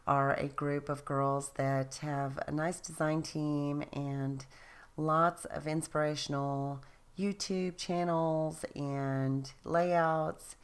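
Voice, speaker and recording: A woman narrating her craft project in a casual voice-over, tired and a little raspy, chatty and self-deprecating. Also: low voice. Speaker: craft video host.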